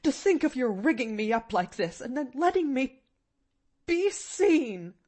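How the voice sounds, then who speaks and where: A woman's voice: sits high, sing-song, unhurried, darkish tone, pitched above the performer's own voice, full character voice. A woman voicing a stern, easily flustered middle-aged aunt in an audiobook, scolding and protesting indignantly. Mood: distressed. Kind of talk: audiobook character voice.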